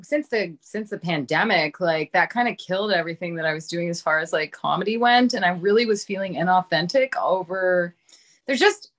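A woman's voice: fairly low pitch, fairly quick. Casual, candid woman in her thirties. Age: 30s. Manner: informal, self-deprecating, frequent 'like'. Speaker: podcast guest, comedian and content creator.

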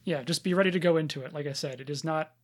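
A man's voice: a little high, rapid-fire. A man co-hosting a podcast, talking casually as he reviews and discusses shows. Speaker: podcast co-host reviewing anime.

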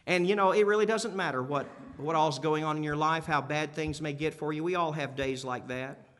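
A man's voice: on the high side, brisk, a bit bright. A man preaching a sermon in an emphatic but conversational way, repeating key phrases and putting questions to his listeners. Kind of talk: sermon to a congregation. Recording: long hall reverb.

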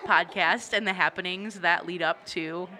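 A woman's voice: fairly low pitch, fairly even intonation, normal speed, bright, forceful tone, a little hiss or hum. A woman co-hosting a podcast in casual chat in a coffee shop, often giggling through her sentences. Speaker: podcast co-host.

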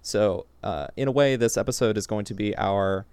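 A man's voice: on the low side, moderate pace, darkish tone, clear, resonant tone, no echo. A man hosting a podcast, steadily steering the chat and introducing the episode's topic. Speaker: podcast host.

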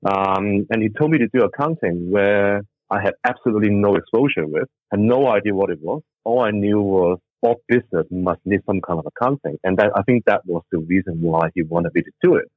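A man's voice: on the low side, a little fast, hardly any pauses, no room sound. A man with a Hong Kong accent, a guest telling his story in an easygoing, thinking-aloud way over a remote call. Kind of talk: casual interview conversation. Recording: dry tinny phone-like line.

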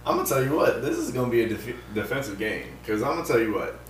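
A man's voice: mid-range voice, expressive, quick pace, recorded from a distance, slight background noise. A man who hosts the sports segment on a casual podcast, talking in a hyped, emphatic way. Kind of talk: sports talk. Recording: echoey room, off-mic.

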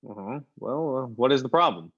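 Man acting a husky slangy movie tough guy. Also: medium pitch, animated, a little fast. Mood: wary, puzzled. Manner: slangy, clipped, hesitant stammers. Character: tough-talking movie gangster star, affable but wary. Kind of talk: old-time radio comedy play acting. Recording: dull lo-fi audio with music behind.

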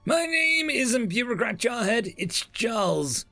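A man's voice: very high voice, very animated, measured pace, pushed and bright, soft background music, pitched above the performer's own voice, full character voice. A man voicing a touchy, indignant male diplomat who snaps back defensively. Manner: huffy, defensive outbursts.

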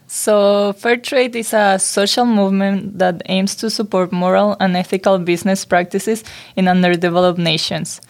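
A young woman from Spain, a student guest reading prepared explanations in an even, informative way. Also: mid-range voice. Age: young adult. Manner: even, informative, read aloud.

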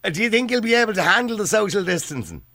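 A man's voice: sits high, very animated, bright, forceful tone, no echo, clean studio sound. A man with a Dublin accent hosting a call-in radio show, teasing and laughing with callers. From Dublin, Ireland. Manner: teasing, laughing, quick interjections. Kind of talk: lively call-in radio banter.

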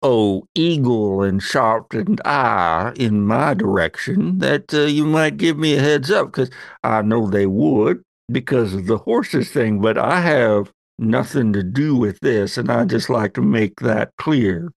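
Man playing a suave older gentleman, slow and folksy. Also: mid-range voice, animated, creaky, no echo. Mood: sly, guarded. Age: 60s.

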